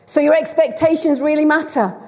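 High-voiced Lancashire woman preaching in a hall. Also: fairly even intonation, brisk, dark tone. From Bolton, Greater Manchester, England. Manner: chatty, teasing, self-deprecating. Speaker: guest preacher. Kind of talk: sermon. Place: church hall. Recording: dull, long hall reverb.

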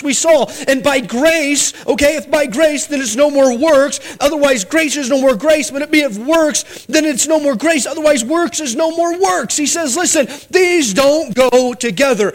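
A man preaching a sermon as pastor, pleading earnestly with his congregation and then telling a story with humorous asides. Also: very high-pitched.

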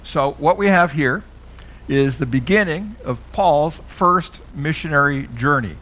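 Middle-aged man with a fairly high voice, chatty Bible lecturer who asks his listeners questions. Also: expressive, unhurried, a bit bright, low-quality recording. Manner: conversational, asks the audience questions. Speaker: Bible teacher.

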